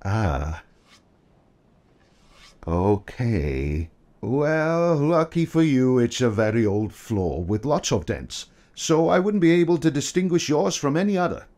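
An actor playing a polite, patient, slightly flustered photographer in an audio drama, hesitant and conciliatory. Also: male voice, mid-range voice, big pitch swings, takes it slowly, a little mellow. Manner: hesitant, conciliatory, with nervous chuckles.

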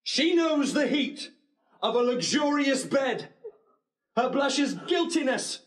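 An actor playing a proud, bitterly wronged young bridegroom, declaiming in classical Shakespearean drama style. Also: male voice, very high voice, animated, slow, heavily acted, low-quality recording. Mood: anguished fury.

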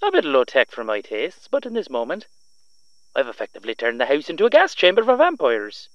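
An actor playing a smug, vengeful young half-vampire son, taunting theatrically in an audio roleplay. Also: male voice, on the high side, wildly swooping pitch, fairly quick, no echo, muffled recording. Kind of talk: audio roleplay villain monologue.

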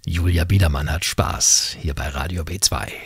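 A man's voice: extremely low pitch, normal speed, gravelly. A middle-aged man hosting a casual radio interview in a small studio, speaking into a close studio mic. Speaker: radio host. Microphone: studio mic on boom arm.

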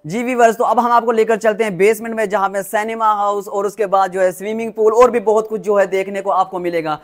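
A man with a Pakistani accent hosts a property tour in a high-pitched voice. He presents with enthusiasm, in an effusive salesman's patter that circles back on the same phrases. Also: steady tone, quick pace.

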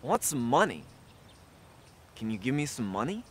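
A man's voice: mid-range voice, sing-song, moderate pace, a little hiss or hum, natural voice. A man playing a restless grown man in a story who keeps asking for things. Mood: wanting. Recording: outdoors with birds and insects.

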